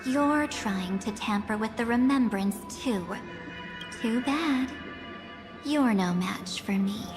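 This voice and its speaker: Slow, menacing game villainess. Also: female voice, on the high side, over music. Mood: cold, threatening. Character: cool, menacing, confident female foe. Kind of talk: anime game voice acting.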